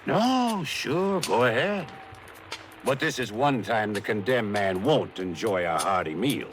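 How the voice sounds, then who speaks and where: A callous crook in an old radio thriller. He schemes gruffly with a lively, swinging delivery, on a vintage recording with faint noise. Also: fairly low pitch, a little slow. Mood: menacing. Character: scheming, callous male small-time crook. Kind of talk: 1950s radio crime drama acting.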